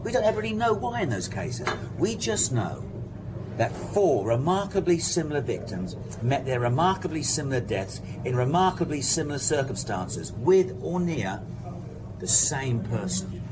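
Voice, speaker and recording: An actor playing a determined police detective in a radio drama, firm, insistent and deliberate in his persuasion. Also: medium pitch, big pitch swings, noisy background, natural voice. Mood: insistent, convinced. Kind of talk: naturalistic radio drama acting.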